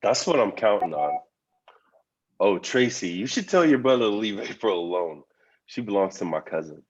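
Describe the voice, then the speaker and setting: A man's voice: on the low side, very animated, moderate pace, highs cut off. A man playing a sly, scheming young man in a drama table read.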